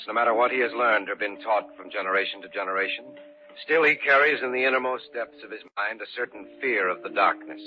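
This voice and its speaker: An actor playing a grave, haunted man who narrates a supernatural tale in old-time radio horror style, solemn and measured. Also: fairly low pitch, close to an everyday voice. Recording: dull old radio recording with music.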